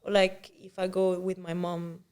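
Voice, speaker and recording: A woman's voice: on the low side, flat delivery, unhurried, a little mellow, clean tone. A woman from Spain whose first language is Spanish, a singer telling her story candidly in a casual interview.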